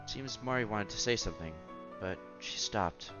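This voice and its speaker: A man's voice: on the low side, normal speed, highs cut off. A man streaming a visual novel, reading the text aloud with casual commentary and stumbling over words now and then. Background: game music.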